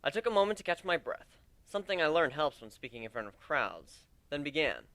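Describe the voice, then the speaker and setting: Animated, bright-voiced man reading a story. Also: a little high, a little fast, dry recording. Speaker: amateur story reader. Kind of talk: dramatic first-person story narration.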